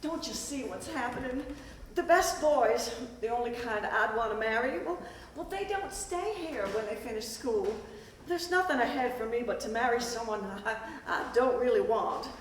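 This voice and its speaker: A woman's voice: a little high, animated, normal speed, lightly acted. A woman playing a discouraged, plainspoken young schoolgirl, speaking hesitantly in a stage play reading. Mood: resigned, pleading. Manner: hesitant, repeating words. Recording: echoey hall from far off, hiss.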